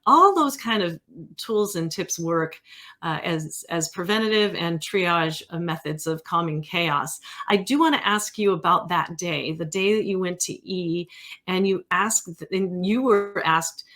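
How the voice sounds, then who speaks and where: Female host, slightly low pitch, conversational interviewer who shares her own angle before asking. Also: measured pace, fairly bright tone.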